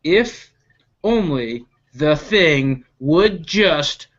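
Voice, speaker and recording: A storyteller reading a picture book aloud to children in a deliberate, expressive way, stretching out key words. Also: mid-range voice, muffled recording. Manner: animated, deliberate, stretching key action words, with asides to listeners.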